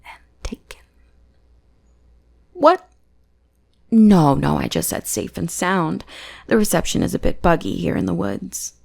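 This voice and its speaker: A woman's voice: on the low side, big pitch swings, unhurried, long pauses, darkish tone, full character voice. A young woman playing a teasing, possessive goth bully who is secretly smitten, in an ASMR roleplay. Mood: whispered threat. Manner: playful, taunting, drops into breathy whispers.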